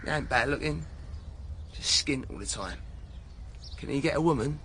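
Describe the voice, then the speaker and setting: A man's voice: medium pitch, normal speed, fairly bright tone, lightly acted. A man acting a cocky young English footballer, noisy recording. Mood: bitter, defiant. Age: young adult. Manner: brash, slangy, argumentative. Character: cocky, defiant young footballer under police questioning. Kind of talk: naturalistic radio drama acting. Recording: clearly noisy background ambience.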